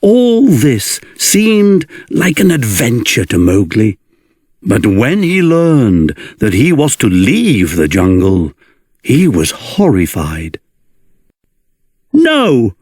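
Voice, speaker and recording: Slow, highly expressive male storybook narrator. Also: slightly rough, no echo, studio quality. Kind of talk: dramatic children's storybook read-along narration.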